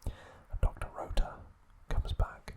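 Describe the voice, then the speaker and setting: A man hosting ASMR, whispering a recap in short, hushed phrases with pauses between them. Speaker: ASMR video host.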